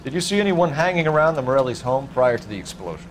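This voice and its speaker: An actor playing a determined young American police detective who questions in a clipped, insistent, businesslike way for a video game. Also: male voice, mid-range voice, sing-song, fast, slight background noise, close to an everyday voice. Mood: probing. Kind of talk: dramatic video game acting.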